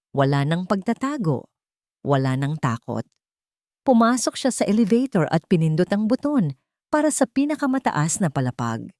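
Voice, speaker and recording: Low-voiced Filipino woman narrating a dramatic story. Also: darkish tone, dry recording, clean studio sound.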